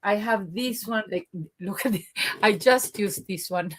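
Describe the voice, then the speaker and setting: A woman with a deep alto voice, chatting as a guest and telling lively stories. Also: unhurried. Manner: lively, animated storyteller. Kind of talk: casual chat.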